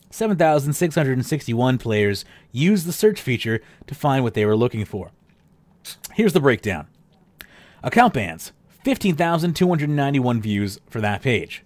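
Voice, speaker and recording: A man's voice: medium pitch, no echo. A man hosting a casual podcast, reading out game statistics with sarcastic asides, his voice a little worn from losing it. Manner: animated, sarcastic asides, trails off with fillers. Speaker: podcast host.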